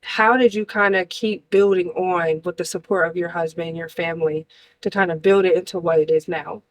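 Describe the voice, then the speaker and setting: A woman's voice: fairly low pitch, steady tone, normal speed. A woman from New Jersey hosting a casual interview show, upbeat and speaking straight to her audience. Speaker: host.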